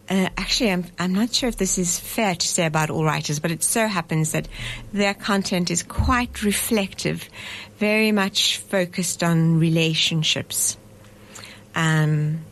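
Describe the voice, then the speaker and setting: Thoughtful woman in her fifties, slow and low. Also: a little hiss or hum. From South Africa. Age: 50s. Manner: thoughtful, self-correcting, reflective. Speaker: festival director. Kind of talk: radio interview. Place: radio studio.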